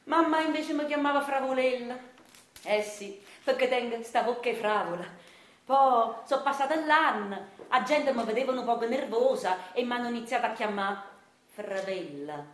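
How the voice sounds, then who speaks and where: Actress as a high-voiced, fierce Neapolitan washerwoman matriarch. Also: lively intonation, normal speed, reverberant, natural voice. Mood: proud, grumbling. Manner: brash, scolding, mocking, breaking into laughter. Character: fierce domineering Neapolitan washerwoman matriarch who beats her husband. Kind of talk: theatrical radio drama monologue in dialect.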